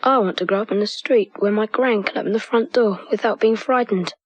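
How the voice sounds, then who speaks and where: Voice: mid-range voice, a little fast, no room sound, lightly acted. A young performer playing an earnest child in a scripted TV advert monologue. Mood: earnest, wistful. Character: earnest child wishing for a safe neighbourhood. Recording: dull, dry vintage TV soundtrack.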